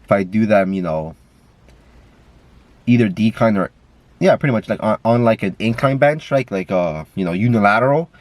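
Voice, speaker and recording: A man's voice: on the low side, normal speed, long pauses, no room sound. A man with a stuffy nose, talking in a casual, rambling way with lots of fillers and swearing, filmed on a camera while driving. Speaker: vlogger. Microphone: camera mic.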